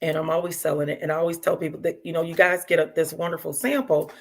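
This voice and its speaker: A woman's voice: low voice, fast. A woman in her fifties, talking casually as a guest and repeating herself for emphasis. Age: 50s. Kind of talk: casual chat.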